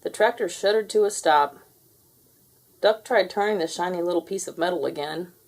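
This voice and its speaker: A woman reading a children's story aloud with expressive, theatrical delivery. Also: on the low side, normal speed. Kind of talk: animated scripted read-aloud narration.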